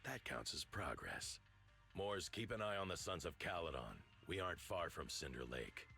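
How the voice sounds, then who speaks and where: An actor voicing a proud, old-fashioned biker gang boss in a video game, gravelly, blunt and commanding. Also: male voice, sits low, very animated, normal speed, sharp tone, soft background music, exaggerated voice. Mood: stern. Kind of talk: dramatic video game voice acting.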